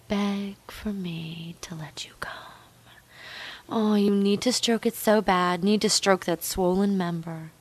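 A woman with a medium-pitched, breathy voice that often slips into a whisper. She speaks very slowly, drawing out her words. Her delivery is intimate and dominant, coaxing in repeated commands in the manner of adult hypnosis audio. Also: a little mellow. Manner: slow, drawn-out, dominant and coaxing. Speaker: erotic hypnosis performer.

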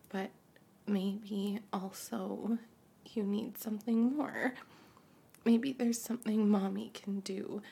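A woman playing a breathy, frightened mother whispering. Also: on the high side, exaggerated voice. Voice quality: husky, breathy. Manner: breathy, hushed whispering mixed with pleading outbursts. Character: frightened, clingy mother sheltering in a post-apocalyptic home. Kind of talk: audio roleplay performance.

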